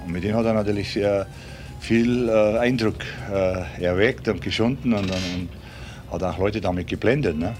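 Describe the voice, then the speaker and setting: A man being interviewed, talking chattily and tagging his sentences with little questions. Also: sits low. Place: Miami.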